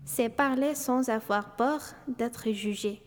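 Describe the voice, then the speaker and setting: A woman's voice: fairly high pitch, slow pace. A woman from Syria with a Syrian Arabic accent, reading short scripted statements. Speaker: exhibition participant. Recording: long reverb.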